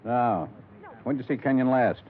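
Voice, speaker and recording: An actor playing a tough, weary middle-aged small-town police lieutenant in a 1950s radio detective drama, gruff and commanding with clipped questions. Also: male voice, medium pitch, moderate pace, lightly acted. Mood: hard, pressing. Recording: 1950s radio broadcast, thin phone-like band.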